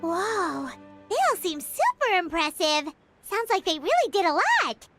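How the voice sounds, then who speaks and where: A woman voicing a small, excitable girl companion who is chirpy and bewildered and refers to herself in the third person. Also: extremely high pitch, a little slow, soft background music, full character voice. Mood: curious.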